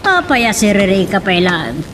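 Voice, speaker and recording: An imperious, stern lady of the house, played by a woman at a middle pitch. It is radio drama, heard slightly off-mic with clear noise. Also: very animated, a little slow. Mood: concerned, scolding. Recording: distant noisy radio drama recording.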